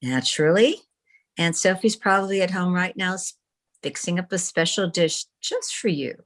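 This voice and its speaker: A woman's voice: on the low side, normal speed, lightly acted. A woman playing a composed, mischievous newspaper publisher in a radio comedy, speaking politely. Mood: breezy, composed. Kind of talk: old-time radio comedy acting. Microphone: video call.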